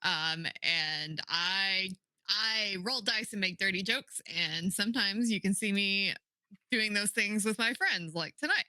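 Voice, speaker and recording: A woman's voice: medium pitch, measured pace, bright, forceful tone, dry recording, low-quality recording. A woman guest player giving a casual, jokey sign-off with plugs. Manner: informal, jokey.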